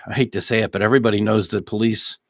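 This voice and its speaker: A man's voice: fairly low pitch, steady tone, quick pace, dry recording, clean studio sound. A man hosting conversational legal commentary on a criminal trial, repeating phrases for emphasis. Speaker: host of a legal commentary show.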